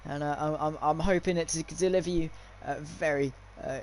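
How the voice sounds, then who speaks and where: Young male esports race commentator, high-pitched voice, informal streamer delivery, faint noise behind. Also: steady tone, normal speed, a little mellow. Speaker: sim racing commentator and streamer. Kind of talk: live esports race commentary with casual chat.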